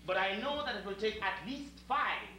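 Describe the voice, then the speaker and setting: High-voiced, theatrical Trinidadian hotel servant. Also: male voice, lively intonation, moderate pace, bright, forceful tone, full character voice. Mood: mock-solemn, indignant. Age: middle-aged. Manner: theatrical, mock-solemn, elaborately detailed. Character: deferential yet sly, verbose retired calypsonian hotel servant. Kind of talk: live stage comedy acting. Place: theatre stage before an audience. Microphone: distant camera microphone. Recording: roomy, noisy old camcorder tape of stage play.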